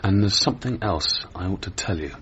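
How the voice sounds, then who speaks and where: Lilting, polite English gentleman. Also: low-pitched, lively intonation, a little fast, dull audio, slight background noise. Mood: apologetic, sober. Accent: British. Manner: courteous, understated, well-spoken. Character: unflappable, polite English gentleman athlete, curious. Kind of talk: radio drama acting.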